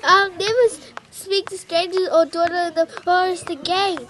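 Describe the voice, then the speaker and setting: A woman's voice: very high voice, fairly even intonation, moderate pace, a bit bright, pitched above the performer's own voice. A woman voicing a sweet, naive little girl in a fairy tale, speaking in an earnest, exclamatory way. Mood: frightened, upset. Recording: noisy recording with clicks.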